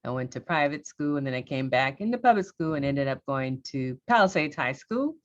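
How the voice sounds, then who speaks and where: A middle-aged woman from Los Angeles, a judge, telling her career story on a panel in a candid, lively way. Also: a bass voice, brisk, lo-fi sound.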